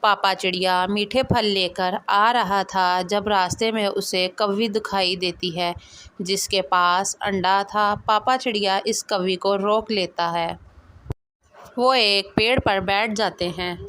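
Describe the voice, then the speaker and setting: A woman's voice: mid-range voice, steady tone, slow, a bit bright, smooth. Woman, mid-range voice, scripted storytelling, children's moral tale narrator.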